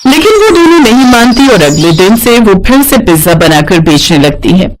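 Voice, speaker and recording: A woman's voice: medium pitch, very animated, normal speed, poor audio. A woman narrating a scripted children's cartoon story as a storyteller.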